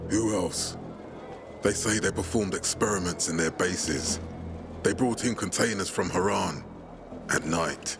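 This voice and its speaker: An actor playing a commanding, determined peacekeeper leader in a video game, speaking in a firm, measured way. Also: male voice, low-pitched, vocal fry. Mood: grim, firm. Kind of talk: video game dialogue acting. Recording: music behind the voice.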